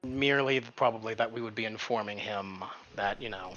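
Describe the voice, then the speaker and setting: A man's voice: on the low side, brisk, fairly bright tone, faint music behind. A man playing a tabletop game, chatting casually and reasoning aloud, on a slightly dull microphone. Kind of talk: casual chat while gaming.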